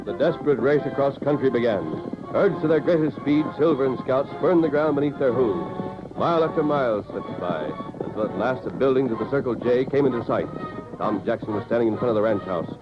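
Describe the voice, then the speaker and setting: A man's voice: mid-range voice, a little fast. A man delivering dramatic scripted narration in the style of a 1940s radio western. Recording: muffled 1940s radio recording with music.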